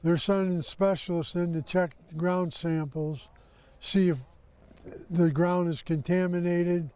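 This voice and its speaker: A man's voice: high-pitched, steady tone, takes it slowly, warm tone, clear, resonant tone. An older man, a homeowner, talking casually in a news interview.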